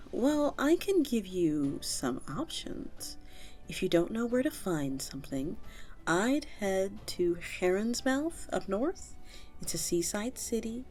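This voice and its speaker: A woman voicing a friendly, nurturing vampire tavern keeper in an audio roleplay, chatting to the listener in a coaxing, conversational way. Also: lowish voice. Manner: animated, coaxing, conversational with little chuckles.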